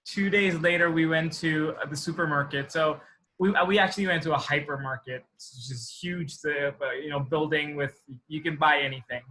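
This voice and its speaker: A man in his thirties with an Indian accent and a bright, slightly high voice. He chats as a guest, sharing anecdotes in a halting way and rephrasing himself along the way. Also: steady tone, rough recording. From Assam, India. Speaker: interview guest. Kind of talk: casual chat, anecdote telling.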